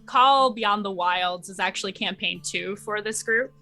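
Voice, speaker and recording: A woman's voice: medium pitch, measured pace, pushed and bright, soft background music. A woman speaking as an interview guest and game master, explaining things in casual chat.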